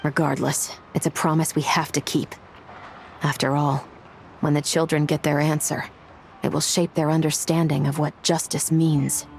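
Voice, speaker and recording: A cool, resolute female investigator with a low voice. Her delivery is measured and serious, game-drama style, with music clearly playing behind her. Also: little pitch movement. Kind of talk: video game voice acting.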